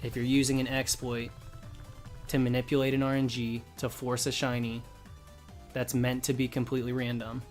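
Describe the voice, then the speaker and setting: A man's voice: medium pitch, monotone, normal speed. A man live streaming a game, explaining his opinions in casual, informal chat while his voice starts to give out. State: losing his voice. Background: music.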